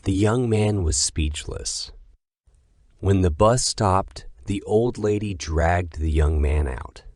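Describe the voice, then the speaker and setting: Deep-voiced male horror narrator. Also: a little mellow, no echo, studio quality. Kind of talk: scripted horror story narration.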